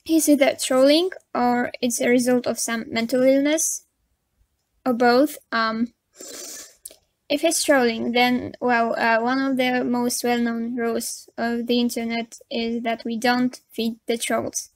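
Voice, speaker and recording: A woman's voice: on the high side, level tone, measured pace, dry recording. Polish woman, frank chatty commentary. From Poland. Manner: frank, chatty, with nervous laughs and many fillers. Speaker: commentary YouTuber. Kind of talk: casual commentary over a drawing video.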